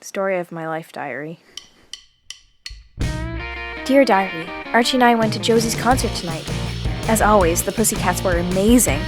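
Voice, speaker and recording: A woman's voice: mid-range voice, natural voice. A woman playing an earnest, observant teenage girl who narrates her diary in an audio drama. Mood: bright, earnest.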